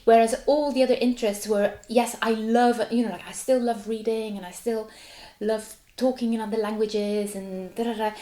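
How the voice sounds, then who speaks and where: A woman's voice: fairly high pitch, fairly even intonation, normal speed, dark tone, echoey room. A Swiss woman in her forties, German her first language, a contemporary dancer chatting animatedly in an interview with lots of "like" fillers and laughs. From Switzerland. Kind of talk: casual interview chat.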